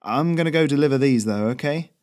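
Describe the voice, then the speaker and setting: A man's voice: medium pitch, quick pace, darkish tone, pitched above the performer's own voice, lightly acted. A man voicing a flustered young local messenger who talks in a stammering, hesitant way. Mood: awkward.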